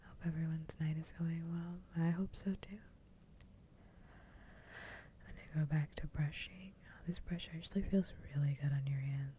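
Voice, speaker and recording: A woman speaks in a soft, low whisper with a dark, mellow tone. Her delivery is casual ASMR livestream chat, kept very even in pitch. A faint rumble of noise sits underneath the close microphone. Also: moderate pace. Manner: soft-voiced, mostly whispering.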